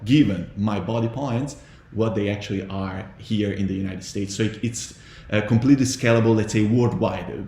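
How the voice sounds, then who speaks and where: Italian-accented man, low voice, echoey room. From Italy. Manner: hesitant, restarts with many fillers. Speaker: podcast host. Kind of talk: casual interview. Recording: echoey room with faint noise.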